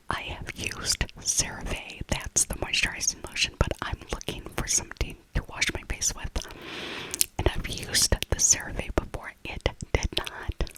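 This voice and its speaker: A woman in her fifties whispering a casual ASMR shopping voiceover in a breathy, unvoiced voice, musing and repeating phrases softly. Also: poor audio. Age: 50s. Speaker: ASMR video host.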